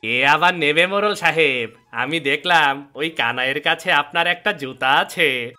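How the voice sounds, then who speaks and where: A man's voice: on the high side, measured pace, bright, forceful tone, soft background music. A man playing a scheming, envious village cobbler in a cartoon folktale, with a sly, exclamatory way of talking.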